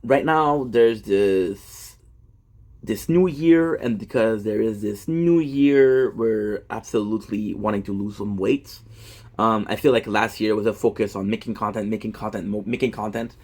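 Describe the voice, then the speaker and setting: A man's voice: fairly low pitch, measured pace, darkish tone. A man in his thirties, a gaming content creator, talking in a rambling, self-deprecating monologue full of fillers and restarts. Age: 30s.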